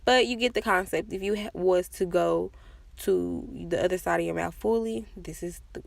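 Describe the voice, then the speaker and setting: A woman's voice: lowish voice, moderate pace, vocal fry, no echo. A woman giving a casual, chatty makeup tutorial voice-over with self-deprecating asides. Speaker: makeup vlogger.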